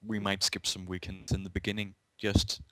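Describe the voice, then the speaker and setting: A man's voice: low voice, flat delivery, moderate pace, pushed and bright, no echo. Danish-accented man, dry close sound. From Denmark. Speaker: podcast co-host. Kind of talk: casual tech chat.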